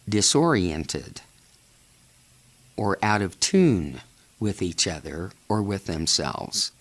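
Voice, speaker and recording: A man narrating a guided imagery meditation in short, measured phrases with long pauses between them. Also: low-pitched, fairly bright tone.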